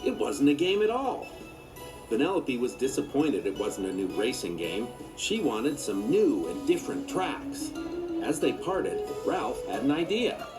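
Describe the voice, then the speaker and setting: A male narrator reads a children's story expressively. His medium-pitched voice moves up and down in a lively way, with music playing behind him. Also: measured pace.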